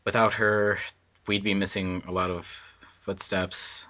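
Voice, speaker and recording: Man, low pitch, bright sharp tone, casual chat, rambling editor. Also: little pitch movement, a little slow. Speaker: editor and commentary participant. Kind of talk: casual group commentary chat.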